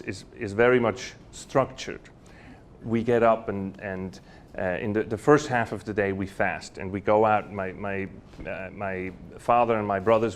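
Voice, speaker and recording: A man, telling personal stories at a university talk, chatty and full of fillers and restarts. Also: lowish voice. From Switzerland. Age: 40s. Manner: warm anecdotal storytelling with frequent fillers and restarts. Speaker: guest speaker. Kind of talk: storytelling lecture. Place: university lecture hall.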